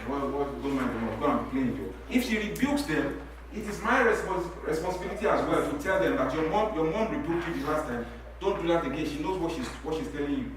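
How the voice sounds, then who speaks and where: A middle-aged Nigerian man whose first language is Yoruba, speaking on a church talk-show panel. He talks in an animated way, repeats his words and laughs while he makes his points. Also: on the high side, brisk, crowd noise. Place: church hall. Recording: very echoey hall, mic from a distance.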